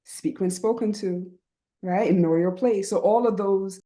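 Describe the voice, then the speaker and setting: A woman's voice: mid-range voice, a little slow. A middle-aged woman from Barbados with a Barbadian accent, speaking earnestly and reflectively as a podcast guest, often checking in with "right?". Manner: earnest and reflective, with frequent "right?" and soft laughs. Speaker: guest, writing program founder. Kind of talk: reflective conversational interview.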